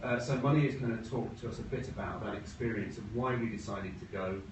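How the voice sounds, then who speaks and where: A middle-aged British man giving an informal business presentation in a measured way with wry asides. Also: on the low side. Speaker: presenter, agency co-founder. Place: room at a talk event. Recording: roomy, noisy, distant mic.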